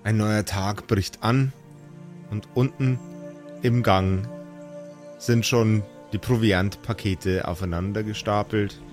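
A man's voice: lowish voice, steady tone, takes it slowly. A man narrating a fantasy tabletop game as game master. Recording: background music under the voice.

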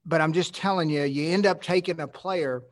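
A man's voice: on the high side, normal speed. A middle-aged man, a team executive, answering at a press conference in a rambling, conversational way with frequent restarts.

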